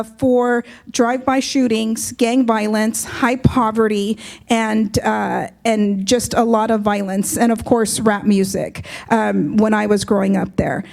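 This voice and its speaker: A woman from Southern California with an American accent, speaking publicly as a judge and explaining things in measured phrases with frequent ums. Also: a little high, gravelly, darkish tone. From Southern California, United States. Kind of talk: public speech to an audience.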